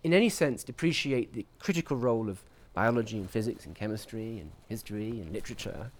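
A man giving reflective interview answers as a scientist, speaking in articulate, measured academic phrases. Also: fairly low pitch, animated. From grew up in Portugal, England and the US. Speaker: interviewee, scientist at a research institute.

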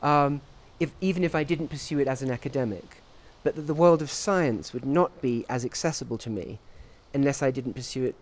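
A man giving reflective interview answers as a scientist, speaking in articulate, measured academic phrases. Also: mid-range voice. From grew up in Portugal, England and the US. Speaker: interviewee, scientist at a research institute.

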